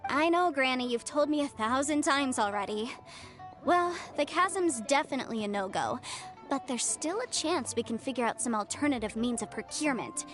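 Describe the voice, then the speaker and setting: A woman's voice: sits high, lively intonation, normal speed, fairly bright tone, faint music behind. A woman voicing a confident, talkative young legal advisor with a breezy, salesmanlike manner in a video game. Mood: resigned, thoughtful. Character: chatty, confident young female legal advisor, half-immortal.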